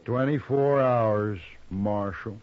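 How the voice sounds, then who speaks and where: An actor playing a cold, taunting hired gunman in a 1950s radio western, speaking in terse, unhurried lines. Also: lowish voice. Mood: cold, menacing. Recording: muffled, noisy 1950s radio recording.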